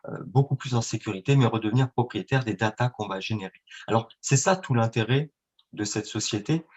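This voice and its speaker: A man's voice: on the low side, a little fast, a little mellow. A man answering interview questions as a guest, speaking with many fillers and restarts. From France and Italy. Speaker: guest, independent trader. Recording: dull lo-fi sound.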